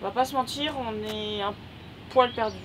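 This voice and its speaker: A woman's voice: on the high side, moderate pace, noisy background. A woman chatting casually while walking outdoors on a trail, filming a travel vlog. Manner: chatty and upbeat. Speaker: travel vlogger. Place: outdoors on a nature reserve trail.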